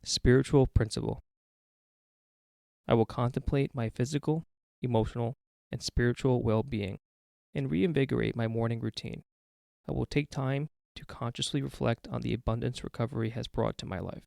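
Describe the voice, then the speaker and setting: Male reader for daily recovery meditations, heavy vocal fry, mid-pitched, close dry sound. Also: steady tone, normal speed, a little mellow, no echo. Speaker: guest reader of a recovery podcast. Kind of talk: read-aloud daily meditation.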